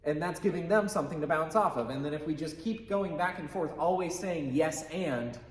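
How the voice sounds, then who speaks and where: A man's voice: on the high side, a little fast, slight background noise. A man teaching improv in an informal, emphatic way, explaining rules and stressing key phrases in an echoey room. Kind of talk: explanatory lesson with quick acted examples.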